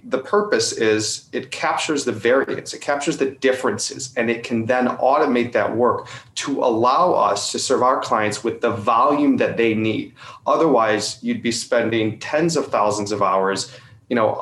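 A man giving an articulate, conversational explanation as an interview guest, often checking his points with a quick tag question. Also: medium pitch, a bit bright. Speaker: company representative being interviewed. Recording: roomy, echoing sound.

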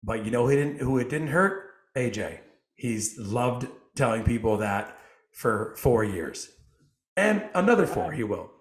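A man's voice: medium pitch, big pitch swings, measured pace. Showman man hosting a podcast, roomy. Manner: playful, self-mocking, showman-like. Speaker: podcast host. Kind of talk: jokey podcast banter. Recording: roomy echoey sound.

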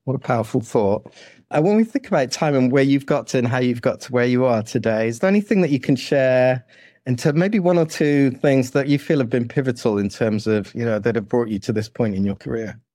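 Podcast host: middle-aged man, medium pitch, introducing and interviewing, recorded dry. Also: a little fast, mellow, dry recording.

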